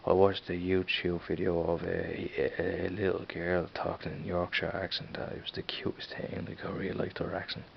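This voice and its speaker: A man in his thirties with a Dublin accent, rambling casually and halting over his words in an off-camera vlog. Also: low-pitched, completely flat delivery, creaky. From Ireland. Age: 30s. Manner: rambling, halting, many restarts. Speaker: vlogger. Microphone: camera mic, filming a screen. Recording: dull lo-fi sound with faint hiss.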